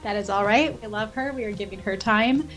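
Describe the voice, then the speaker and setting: A woman's voice: medium pitch, a little fast. A woman podcast host giving an informal outro announcement to listeners. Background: music.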